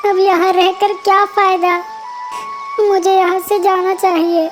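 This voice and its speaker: A forlorn little cartoon duckling, acted by a woman, with a very high young voice. The rejected youngster speaks over clear background music. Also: little pitch movement, a little slow.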